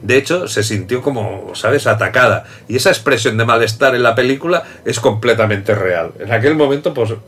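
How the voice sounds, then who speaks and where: A man's voice: medium pitch, expressive, normal speed, hardly any pauses, a bit bright, husky. A man hosting a casual film chat, talking loosely, laughing often and restarting sentences. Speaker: host. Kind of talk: casual chat about films.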